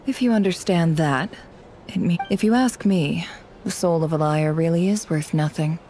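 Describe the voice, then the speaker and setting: Woman acting a low-voiced, guarded young female spy. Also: moderate pace, mellow, slight background noise, close to an everyday voice. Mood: wry, cynical. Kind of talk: video game voice acting.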